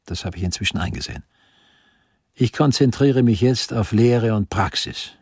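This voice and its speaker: An actor playing an earnest, self-assured eighteenth-century physician in a radio drama, speaking in a measured, formal way. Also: male voice, on the low side, darkish tone, slightly rough, no room sound, clean studio sound. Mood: proud. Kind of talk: German radio drama acting.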